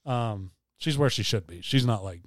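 A soft-spoken man co-hosting a casual podcast chat, laid-back with dry humor. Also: fairly low pitch, expressive, moderate pace, dry recording. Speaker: podcast co-host. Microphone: studio mic.

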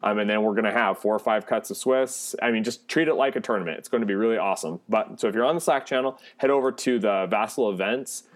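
A man with a fairly low voice hosts a gaming podcast. He talks in an upbeat, relaxed way, hyping an upcoming online tournament. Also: fast talker, hardly any pauses. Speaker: podcast host. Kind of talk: casual podcast chat.

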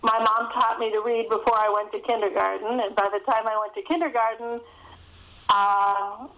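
A woman's voice: a little high, steady tone, moderate pace, pushed and bright, rough recording. A middle-aged or older woman, an author guest telling stories casually in an interview.

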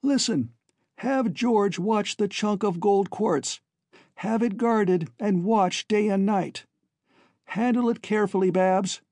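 A man's voice: sits high, takes it slowly, darkish tone, dry recording, voice pushed up. A man voicing an earnest, devoted young man in an emotional, pleading audiobook dialogue. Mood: urgent. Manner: choked, emotional, pleading delivery. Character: earnest, devoted young man bidding his family farewell.

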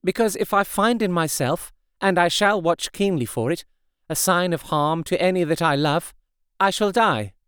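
A brave, sorrowful young woman voiced by a man speaks softly and earnestly. His voice is lifted high and smooth, recorded close and dry. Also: steady tone, normal speed, dry recording, natural voice.

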